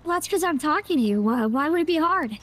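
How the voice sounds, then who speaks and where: A man's voice: very high voice, lively intonation, quick pace, a bit bright, no room sound, voice pushed up. A man voicing a cute, innocent young anime girl through a voice changer in game roleplay. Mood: coy, innocent. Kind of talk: playful in-character game roleplay.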